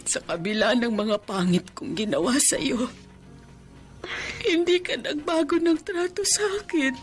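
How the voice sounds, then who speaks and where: A woman's voice: high-pitched, measured pace, soft background music. An actress playing a middle-aged mother-in-law in a radio drama, tearful and pleading for forgiveness. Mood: remorseful. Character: remorseful bedridden mother-in-law, woman, older.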